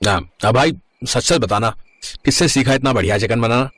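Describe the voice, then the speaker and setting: A man's voice: mid-range voice, normal speed, a bit bright, no room sound, clean studio sound, natural voice. A man voicing a greedy, bossy roadside eatery owner with a blunt manner in a moral story cartoon. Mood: impressed. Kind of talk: animated moral story dialogue.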